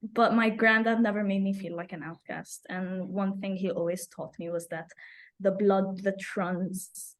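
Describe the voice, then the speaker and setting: A young woman from Abu Dhabi speaks as an interview guest with a medium-pitched voice. She is earnest and personal, reflecting as she goes and circling back on phrases for emphasis. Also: steady tone, normal speed. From Abu Dhabi, UAE. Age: young adult. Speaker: climate activist, podcast guest.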